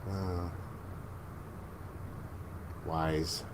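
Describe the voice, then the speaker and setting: A man in his forties hosting a casual live stream, chatting with viewers in a relaxed, joking way. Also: deep voice, drawn-out words. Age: 40s. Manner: laid-back, joking, chuckles often. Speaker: livestream host. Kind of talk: casual live chat reading viewer comments. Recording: noticeable background noise.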